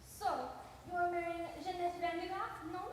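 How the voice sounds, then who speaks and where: A woman's voice: very high-pitched, little pitch movement. A woman playing a glamorous, flustered bride who poses as a flirtatious Frenchwoman with a fake French accent in a stage musical comedy. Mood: probing, flirtatious. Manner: halting, coy, melodramatic. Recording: very echoey hall, faint noise.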